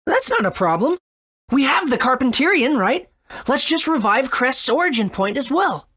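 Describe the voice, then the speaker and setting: An actor playing an eager, earnest young boy adventurer in a video game, with an excitable manner. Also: high voice, moderate pace, breathy edge, full character voice. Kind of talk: video game character acting.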